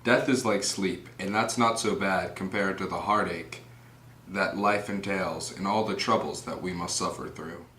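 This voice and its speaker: Low-voiced male reader as troubled prince's inner voice, reflective scripted monologue, roomy with some noise. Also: fairly even intonation, moderate pace, pushed and bright. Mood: grave. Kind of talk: scripted plain-English paraphrase of a soliloquy. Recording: echoey room with background noise.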